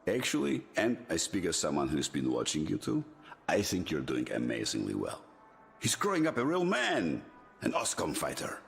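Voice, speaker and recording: Swooping, low-voiced genial military commander. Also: male voice, huge pitch swings, normal speed, vocal fry, faint music behind, heavily acted. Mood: proud. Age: middle-aged. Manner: chummy, persuasive, switching to commanding. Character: genial but ruthless middle-aged male military commander. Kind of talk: dramatic video game cutscene acting.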